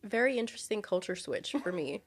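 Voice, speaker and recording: A woman's voice: mid-range voice, a little fast, breathy edge. A woman in her thirties, from Brazil and raised in the United States, telling stories as a podcast guest and laughing often. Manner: lively storyteller, laughs often. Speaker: guest, high school ministries director. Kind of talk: casual podcast chat.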